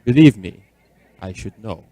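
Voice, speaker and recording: A man playing a mysterious, ageless gentleman in a radio drama, speaking in a measured, formal and cryptic way. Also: fairly low pitch, animated, dry recording. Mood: cool, wistful. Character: mysterious, courtly, ageless stranger savoring cognac.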